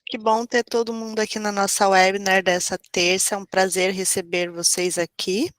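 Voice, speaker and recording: Female webinar trainer, Brazilian accent, slightly under the weather with a cold. Bright, crisp-edged delivery, friendly explainer, dry recording. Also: mid-range voice, flat delivery, moderate pace, dry recording. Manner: friendly, informal, explanatory with frequent check-ins. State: has a cold. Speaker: webinar host and software trainer. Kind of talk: live webinar presentation.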